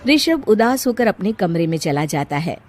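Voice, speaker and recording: A woman's voice: fairly low pitch, big pitch swings, normal speed, music in the background. A woman giving scripted narration of a family moral story as storyteller.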